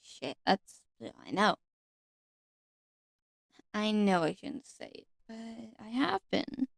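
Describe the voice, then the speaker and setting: A woman voicing a lonely, ancient nature fairy, sweet and timid, speaking haltingly and sometimes whispering in an ASMR roleplay. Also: medium pitch, very animated, creaky, dry recording, studio quality, exaggerated voice. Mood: shy, earnest. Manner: hesitant, halting, apologetic, often whispering. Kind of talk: ASMR roleplay, one-sided conversation with the listener.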